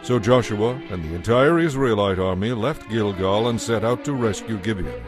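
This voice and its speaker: A man narrating scripture from a script in a measured, solemn, dramatic way. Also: fairly low pitch. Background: music.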